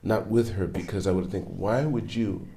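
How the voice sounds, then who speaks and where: A man's voice: lowish voice, moderate pace, warm tone, slight background noise. A man hosting a podcast, interviewing casually and jumping in with inquisitive follow-up questions. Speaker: podcast host.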